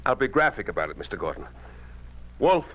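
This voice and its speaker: An actor playing a principled, outspoken newspaper editor in a 1950s radio drama, with an emphatic, oratorical American delivery. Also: male voice, medium pitch, sing-song, a little fast, a bit bright. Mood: pressing, indignant. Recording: dull lo-fi 1950s radio recording.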